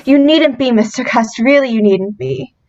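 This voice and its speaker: A woman playing a kindly, coaxing young landlady's daughter in an old-time radio drama, with a gentle manner. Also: on the high side, normal speed, highs cut off, natural voice. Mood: coaxing, fond.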